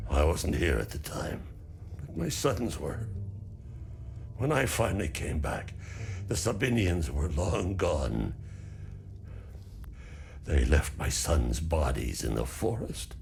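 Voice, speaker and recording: An actor playing a weary, stoic older farmer in a video game, grieving and embittered by war, speaking in a measured way. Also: male voice, on the low side, gravelly, darkish tone, a little hiss or hum, full character voice. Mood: weary, sorrowful. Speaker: non-player character. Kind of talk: video game dialogue acting.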